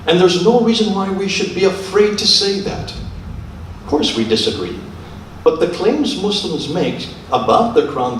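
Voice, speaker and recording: A man lecturing and debating in public, assertive and pressing in the way he talks. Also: medium pitch. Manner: assertive, pressing with repeated questions. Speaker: lecturer and debater taking audience questions. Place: large echoey lecture room. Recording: very echoey hall, distant mic, faint noise.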